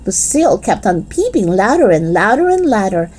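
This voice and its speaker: A woman reading a children's storybook aloud in expressive scripted narration. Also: medium pitch, normal speed, over a noisy crowd. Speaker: children's book reader.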